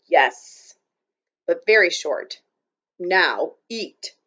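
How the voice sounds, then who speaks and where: A proud, stern little warrior man barks short, clipped commands, as a woman narrator puts him on. He speaks loudly and bluntly, in broken phrases, on a slightly dull storybook recording. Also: on the low side, lively intonation, very slow, heavily acted.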